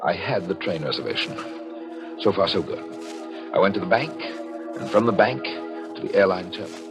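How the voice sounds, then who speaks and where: A man's voice: low-pitched, expressive, moderate pace. An actor playing a suspicious, jealous husband who narrates his murder plot in dramatic 1940s radio thriller style. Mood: tense, secretive. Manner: brooding, dramatic, intense delivery. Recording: vintage radio broadcast with organ-style music.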